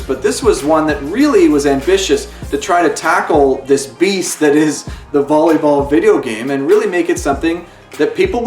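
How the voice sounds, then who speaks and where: Male game reviewer, earnest and pleading, slightly high voice, roomy distant sound with faint music under. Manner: earnest and impassioned, with pleading appeals. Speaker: video game reviewer and channel host. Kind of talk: spoken video game review. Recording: roomy echo, away from the mic, faint music.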